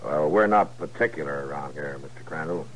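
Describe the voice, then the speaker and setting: An American actor playing a watchful, wry frontier marshal in 1950s radio drama, terse and commanding. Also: male voice, a bass voice, a little fast. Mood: firm. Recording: noisy vintage radio recording.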